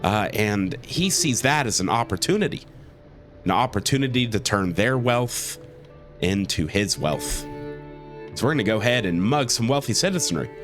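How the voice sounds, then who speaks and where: A man with a cold talks through a strategy game in a lowish, stuffy voice. He keeps it easygoing and leans on plenty of "uh"s. Faint music plays underneath. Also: animated, normal speed, a bit bright. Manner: easygoing, with many "uh" and "um" fillers. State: sick with a cold. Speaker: let's play host. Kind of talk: gameplay commentary.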